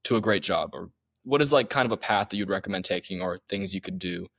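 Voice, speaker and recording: A young male podcast host opens with a read introduction and then asks eager, upbeat questions. He talks quickly in a lowish voice. The recording is close and dry. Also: gravelly, fairly bright tone, dry recording.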